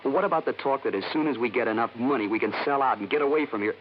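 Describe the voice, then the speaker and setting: An actor playing a resentful, hot-tempered grown son, belligerent and sneering, in old radio style. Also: male voice, mid-range voice, racing, lightly acted. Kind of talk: 1950s radio acting. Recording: muffled, noisy old radio recording.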